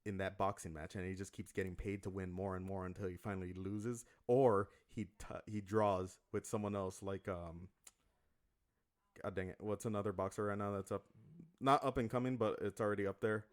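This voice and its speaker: A man's voice: low-pitched, steady tone, moderate pace. A man co-hosting a podcast who asks questions and floats theories in casual chat. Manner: questioning, speculative. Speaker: podcast co-host.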